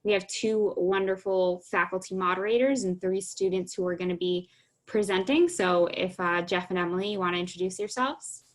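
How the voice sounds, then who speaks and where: A woman's voice: mid-range voice, steady tone, moderate pace. A woman hosting an event, giving welcoming remarks to the audience. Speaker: event host.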